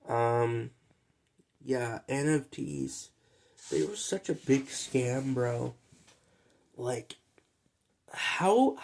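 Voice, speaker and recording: A man's voice: a little high, gravelly, a little mellow. A young man who is sick and coughs now and then, talking in a loose, rambling, slangy vlog monologue full of fillers. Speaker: vlogger.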